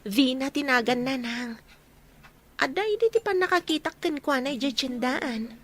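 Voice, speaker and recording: A woman's voice: high-pitched, expressive, normal speed, a bit bright. A woman playing a wistful, lovelorn young village woman in an Ilocano radio drama, with an Ilocano accent.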